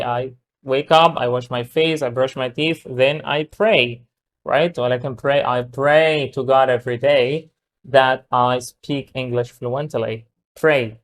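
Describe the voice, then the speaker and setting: A man with an Egyptian Arabic accent teaching English, reading model sentences slowly and explaining them in quick Arabic. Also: mid-range voice. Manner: switches between slow, drawn-out English model sentences and rapid Arabic explanation. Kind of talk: recorded language lesson.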